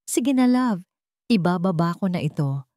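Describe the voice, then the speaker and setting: A brisk, commanding young wife from an audio drama, voiced by a woman narrator. She is bossy, and the recording is dry. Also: fairly low pitch, animated, mellow, no room sound. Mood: cheerful. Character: scornful young wife and mother, outwardly cheerful, bossy.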